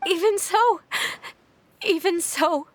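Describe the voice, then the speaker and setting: An actress playing a frightened, remorseful young girl in a video game, speaking in a halting, pleading, emotional way. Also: very high voice. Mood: tearful, pleading. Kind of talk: dramatic video game voice acting.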